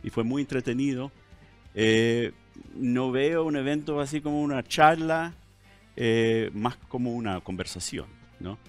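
A man's voice: medium pitch, a little slow, faint music behind. A middle-aged man from California speaking Spanish with a mixed Chilean, Mexican and American accent, hesitant with many fillers as an interview guest in a studio. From California, United States. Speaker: guest historian. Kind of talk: relaxed interview conversation. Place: TV studio set. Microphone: headset mic.

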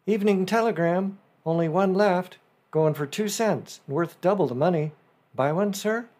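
A man's voice: sits high, a little mellow, voice pushed up. A man doing an eager teenage newsboy. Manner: eager, respectful, quick. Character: shrewd earnest 15-year-old newsboy, polite.

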